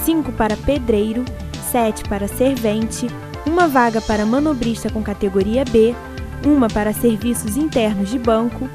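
A woman reading a list of job openings in an even listing manner. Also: a little high, normal speed, music in the background.

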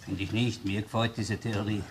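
Viennese cop grilling a suspect, slightly husky, sly taunting questions, old crime radio play style. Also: male voice, fairly low pitch, little pitch movement, moderate pace. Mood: quietly triumphant. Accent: Austrian, Viennese. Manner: taunting rhetorical questions, repeats words, tag questions. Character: sardonic, probing male police interrogator, needling and relentless. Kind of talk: German-language radio crime drama acting.

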